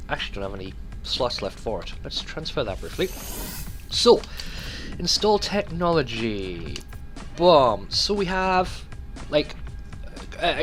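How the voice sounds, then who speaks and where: A man's voice: mid-range voice, a bit bright. A man doing casual, rambling video game commentary, swearing freely and talking to himself as he plays. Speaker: let's play host. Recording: music behind the voice. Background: game music.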